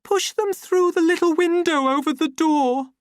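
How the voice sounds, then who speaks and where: A woman's voice: normal speed, dry recording, studio quality. A woman doing a terrified pleading young woman. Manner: high, trembling pleading.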